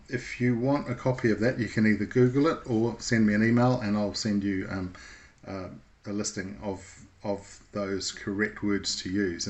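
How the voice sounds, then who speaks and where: Low-voiced man giving an informal tutorial. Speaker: instructor presenting a radio how-to video.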